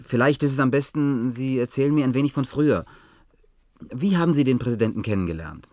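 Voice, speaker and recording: Polite, quick-talking male interviewer played by a man. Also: medium pitch, expressive, a little mellow, no echo, studio quality, natural voice. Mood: hesitant, polite. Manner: deferential, inquiring, tentative. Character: polite, earnest man interviewing a bunker survivor. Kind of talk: German radio play acting.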